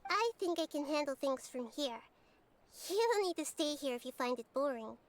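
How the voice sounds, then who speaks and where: A woman voicing a gentle, wise girl goddess in a game, talking softly and kindly, with game music behind. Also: high-pitched, animated, normal speed, no echo. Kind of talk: game character dialogue.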